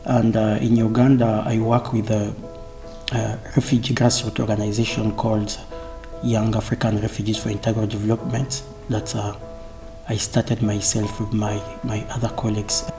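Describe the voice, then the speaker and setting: A man from Congo, a refugee community organizer, speaking hesitantly in a seated interview with many pauses. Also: lowish voice, little pitch movement, vocal fry. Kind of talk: interview testimony. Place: indoor room with dark curtain backdrop. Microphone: off-camera mic, seated interview framing. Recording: soft guitar music underneath.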